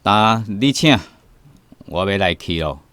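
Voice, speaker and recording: An actor playing a stern, pious Buddhist guide, speaking solemnly in a Taiwanese radio drama. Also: male voice, lowish voice, expressive, noisy background. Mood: pitying, solemn. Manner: solemn, measured, admonishing.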